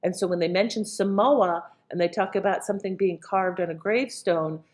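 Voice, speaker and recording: A woman's voice: on the low side, a little fast, darkish tone. A woman giving an informal, conversational explanation as a presenter. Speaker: presenter introducing an old radio play. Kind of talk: casual explanatory talk.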